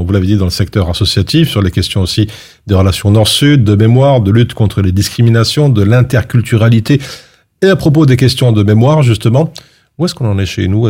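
A man works as the interviewer on a broadcast show. His voice sits a little low and he talks quickly. The delivery is conversational, that of a host questioning a guest. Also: darkish tone.

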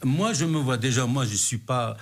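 A man's voice: mid-range voice, normal speed. A middle-aged man from Morocco, answering interview questions in a hesitant way, with many fillers and restarts. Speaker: guest.

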